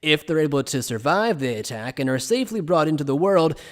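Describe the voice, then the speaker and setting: A man playing an evasive, playful scholar who explains things in a polite, measured, teasing way in an anime fan dub. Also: fairly high pitch, animated, lightly acted. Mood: polite, explanatory. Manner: polite, measured, teasing lecturer with coy understatement.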